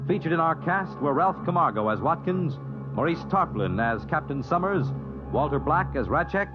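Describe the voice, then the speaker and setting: A man's voice: a little high, big pitch swings, moderate pace, muffled recording. A man announcing formally from a script in a declamatory 1950s radio style, on an old broadcast recording. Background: music.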